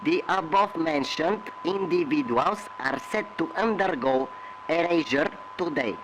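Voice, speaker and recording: A totalitarian party herald in an audio drama, cold and officious. The voice is fairly high for a male and very slow, delivering formal announcements through a humming, noisy radio broadcast. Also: animated. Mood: cold, official.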